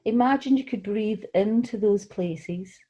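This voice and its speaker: A woman guides a relaxation meditation in a soft-edged, mellow voice. Her delivery is gentle and soothing, with unhurried phrases and room left between them. The pitch sits in a middle range for a woman. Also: dull audio. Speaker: guided meditation leader, tai chi qigong teacher.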